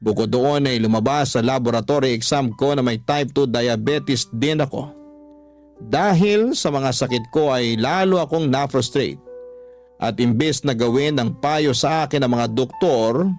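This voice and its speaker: A man's voice: mid-range voice, measured pace, darkish tone. Man narrating a personal story, music underneath. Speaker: storytelling host reading a listener's letter.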